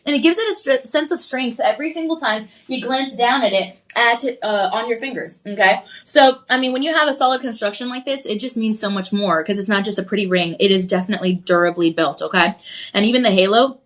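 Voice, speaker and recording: Woman, shopping channel host, slightly high voice, congested, chatty live sales pitch, a cough now and then. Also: fast talker, a bit bright. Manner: chatty, upbeat, reads viewer comments aloud.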